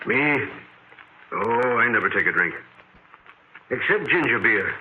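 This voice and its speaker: An actor playing a chatty, absent-minded bartender in a 1940s radio drama, rambling in offhand slang. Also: male voice, medium pitch, lively intonation, a little slow, pushed and bright. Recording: tinny, hissy 1940s radio recording.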